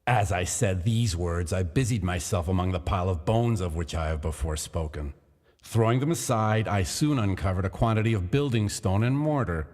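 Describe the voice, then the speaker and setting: A man reading a gothic horror story aloud in a slow, deliberate, theatrical manner, heard in a long echoing hall. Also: lowish voice, darkish tone. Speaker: reader of a gothic tale. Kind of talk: dramatic literary narration.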